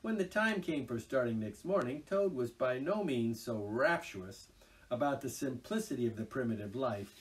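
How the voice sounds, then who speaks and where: Expressive male storyteller, lively pitch. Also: moderate pace, recorded from a distance. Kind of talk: reading a children's classic aloud. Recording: voice a little off-mic.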